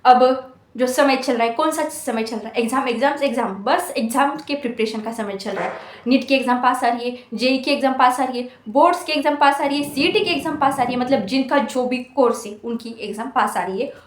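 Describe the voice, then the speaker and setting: A woman's voice: high voice, brisk, echoey room. A woman of twenty-one giving an earnest motivational talk to exam students, scolding them with rhetorical questions. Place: hostel room.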